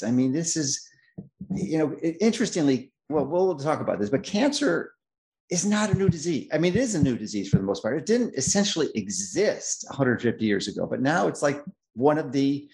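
An assured middle-aged man introducing his guest and talking in a health interview. He speaks emphatically at an ordinary pitch, slowing down to press his points and often restarting sentences. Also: darkish tone. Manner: emphatic, self-assured, with many restarts and slowed stresses. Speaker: host. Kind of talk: interview host, long introduction.

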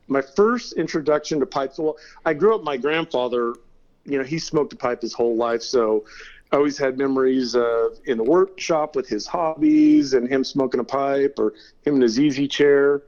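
A man's voice: mid-range voice, darkish tone, muffled recording. A middle-aged man from California, a guest telling stories in a laid-back, casual way and laughing between them. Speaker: interview guest. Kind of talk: casual storytelling.

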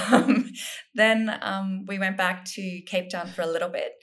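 A woman's voice: mid-range voice, fairly even intonation, unhurried, fairly bright tone. A woman from South Africa, a guest author in a casual interview, thinking aloud with plenty of ums and restarts. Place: home in Sydney.

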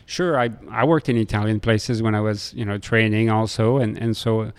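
A middle-aged man from France with a French accent, telling stories in an interview in a halting way, often hesitating and repeating words. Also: lowish voice, fairly even intonation, smooth. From Lille, France. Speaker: restaurateur being interviewed. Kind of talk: conversational interview storytelling.

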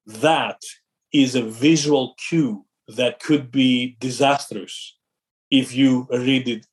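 A middle-aged man from Greece, a company founder being interviewed, speaking thoughtfully with pauses and restarts. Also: medium pitch, steady tone. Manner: thoughtful, measured, with fillers and restarts. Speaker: company founder and CEO, guest. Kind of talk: interview conversation.

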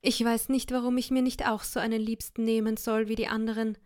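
Woman voicing a shrill scolding young wife. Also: level tone, brisk, darkish tone, close to an everyday voice. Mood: wailing. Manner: shrill, indignant, self-pitying laments. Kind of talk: audiobook reading of a classic tale.

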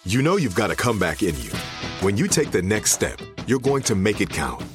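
A man's voice: lowish voice, very animated, a little fast, music in the background. A man narrating a scripted motivational advertisement as an announcer.

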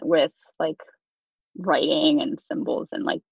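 Woman ceo chatting on a podcast, lots of "like", lowish voice, muffled dry audio. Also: fairly even intonation, slow, vocal fry, breathy edge, dry recording. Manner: chatty, many "like" fillers, laughs easily. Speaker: company CEO, podcast guest. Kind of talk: casual conversational interview. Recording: dry, dull lo-fi sound.

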